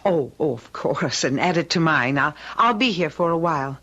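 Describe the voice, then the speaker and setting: An actress playing an anxious, determined middle-aged wife, earnest and halting, in an old-time radio drama. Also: female voice, low voice, animated, a bit bright, heavily acted. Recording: dull lo-fi radio drama recording.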